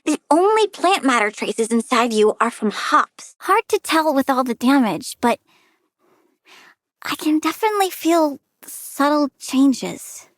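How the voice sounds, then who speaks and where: A woman's voice: high-pitched, sing-song, unhurried, dry recording, clean studio sound. A woman playing a bratty, perky young girl villain who adores plants and scorns humans, in a childlike, petulant video game voice. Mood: cheerfully menacing. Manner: petulant, childlike, blithely menacing. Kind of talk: video game character voice lines.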